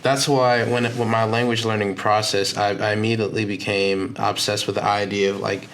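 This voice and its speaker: A man's voice: lowish voice, flat delivery, moderate pace, fairly bright tone. A man hosting a podcast interview, talking in a chatty, enthusiastic way. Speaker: podcast host. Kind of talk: casual interview conversation.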